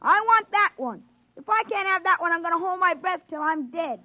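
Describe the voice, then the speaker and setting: A woman's voice: extremely high pitch, animated, a little fast, pushed and bright, no echo, heavily acted. An actress playing a bratty, stubborn little American boy, whiny and demanding, in a 1950s radio drama. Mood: stubborn, whining. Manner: whiny, insistent. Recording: muffled 1950s radio broadcast recording.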